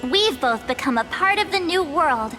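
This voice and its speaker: Casting: playful, chatty game girl, high and light, score underneath. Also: expressive, normal speed. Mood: teasing. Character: lively, teasing young girl, cheerful. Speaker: game character. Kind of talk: game cutscene voice acting. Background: orchestral music.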